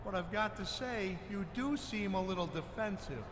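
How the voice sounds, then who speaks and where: Persistent wrestler-investigator male actor for a game cutscene, measured and insistent, higher register, reverberant noisy recording. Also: lightly acted. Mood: probing, measured. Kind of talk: scripted cutscene dialogue in a video game.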